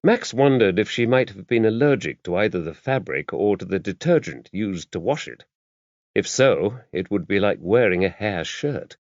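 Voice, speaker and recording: Low-voiced male audiobook narrator, expressive. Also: normal speed, no room sound, highs cut off. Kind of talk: scripted narration of a mystery novel.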